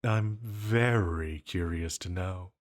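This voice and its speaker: A man voices an ancient, banished male entity in an intimate audio drama. His voice sits low and he takes his time over every phrase. He is flirty and a little self-conscious, a lonely villain who hesitates as he muses. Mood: flirty, tender. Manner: flirtatious, hesitant, unhurried musing. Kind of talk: intimate scripted roleplay audio.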